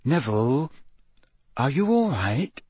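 A man's voice: medium pitch, big pitch swings, slow pace, a little mellow, breathy edge, dry recording, exaggerated voice. A man voicing a clever teenage schoolgirl who speaks curtly and tensely. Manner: curt, tense.

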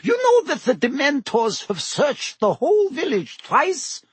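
A man's voice: very high voice, sing-song, slow, fairly bright tone, breathy edge, dry recording, pitched above the performer's own voice, heavily acted. A man voicing a friendly, curious pub landlady in an audiobook, chatty and emphatic, drawing her words out. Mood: annoyed.

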